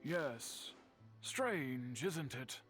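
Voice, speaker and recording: An actor playing a courteous master swordsmith and uncle in a video game, speaking in a formal, measured, earnest way. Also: male voice, medium pitch, animated, fairly bright tone, soft background music. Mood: troubled, earnest. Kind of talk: scripted video game character acting.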